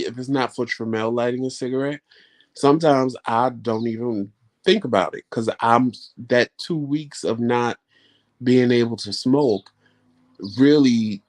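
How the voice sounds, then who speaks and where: A man hosting a vlog live stream, chatting casually with viewers in a rambling, folksy way. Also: medium pitch. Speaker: vlog host answering live chat.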